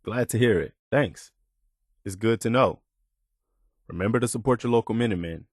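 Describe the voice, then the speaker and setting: An idealistic, principled militia man as a male actor plays him for a video game. His voice sits on the low side, with an earnest, plain-spoken delivery that stays conversational. The sound is very dry and close, with no room to it. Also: moderate pace, no echo, close to an everyday voice. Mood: earnest, thoughtful. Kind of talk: video game companion dialogue, naturalistic acting.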